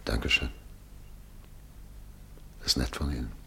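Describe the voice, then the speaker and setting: An actor playing a departing professor in an old radio play, resigned and measured. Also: male voice, rumbling low, fairly even intonation, gravelly, sharp tone, slight background noise, exaggerated voice. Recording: old mono radio drama.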